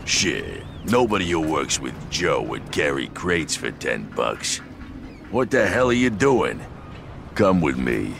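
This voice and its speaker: An actor playing a gruff, brutish, foul-mouthed dock foreman in a crime video game, curt and bossy, with an American accent. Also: very deep voice, sing-song, takes it slowly, exaggerated voice. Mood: startled, annoyed. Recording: clear noise, vehicle sounds behind. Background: ambient vehicle noise.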